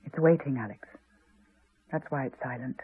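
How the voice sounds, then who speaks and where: A haunted woman in an eighties radio drama, heard through a narrow, phone-like archive recording. Her voice is husky, and she confides gravely in measured phrases with quiet intensity. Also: rumbling low, exaggerated voice. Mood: ominous. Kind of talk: 1980s radio drama acting.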